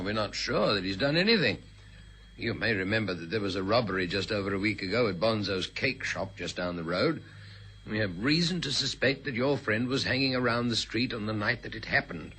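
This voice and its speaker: A slightly noisy old radio drama recording carries male police inspector. The voice is fairly low, with a firm, bright edge. He is measured and courteous, with formal phrasing that always seems to be probing. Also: lively intonation. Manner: formal, polite, deliberately insinuating.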